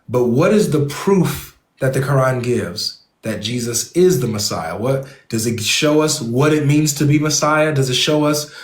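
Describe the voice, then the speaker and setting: A man's voice: medium pitch, animated, measured pace, few pauses, echoey room. A man hosting an online debate panel who presses his point with repeated, probing questions. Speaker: debate host.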